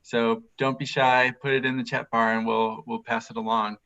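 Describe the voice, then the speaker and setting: A man's voice: medium pitch, little pitch movement, moderate pace, sharp tone. A man hosting a live stream, asking upbeat, encouraging interview questions. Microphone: video call. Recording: dull video-call audio.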